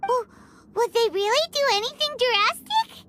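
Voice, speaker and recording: A squeaky snarky little fairy. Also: female voice, expressive, moderate pace, heavy background noise. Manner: sarcastic, chirpy. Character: tiny high-voiced fairy companion, snarky. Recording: loud game music behind.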